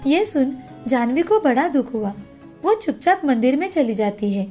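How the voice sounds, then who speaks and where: A woman's voice: high voice, big pitch swings, moderate pace, warm tone. A woman narrator reading a scripted moral fairy tale as storytelling. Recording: background music under the voice.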